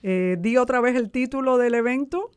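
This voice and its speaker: A woman's voice: a little high, normal speed, darkish tone, clear, resonant tone. A woman who founded an arts organization, speaking as an interview guest and explaining things. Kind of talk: promotional interview talk, explanatory.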